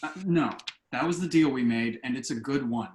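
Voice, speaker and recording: An actor playing a dry, wry and somewhat discouraged adult son and husband in a table read. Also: male voice, medium pitch, moderate pace, darkish tone, natural voice. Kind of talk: stage comedy-drama table read. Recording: echoey room on online call.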